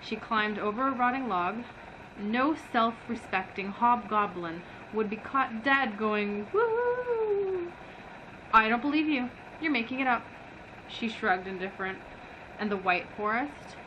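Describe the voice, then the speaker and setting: A woman's voice: animated, measured pace, highs cut off. A woman reading from a book expressively, as a book reviewer acting out the dialogue. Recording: noisy, rumbling background ambience.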